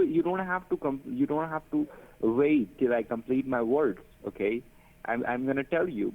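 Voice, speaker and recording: A man with a mid-range voice pushes his instructions again and again in broken phrasing. His voice comes through a thin, tinny phone line. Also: medium pitch. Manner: insistent, repetitive, broken phrasing. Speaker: phone scammer. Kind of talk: scam phone call.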